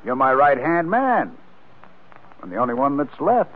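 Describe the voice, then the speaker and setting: An actor playing a scheming crime boss who coaxes and placates in a 1940s radio drama. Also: male voice, mid-range voice, very animated, unhurried. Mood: soothing, insistent. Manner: coaxing, placating. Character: scheming male crime boss coaxing a nervous underling. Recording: noisy tinny 1940s radio recording.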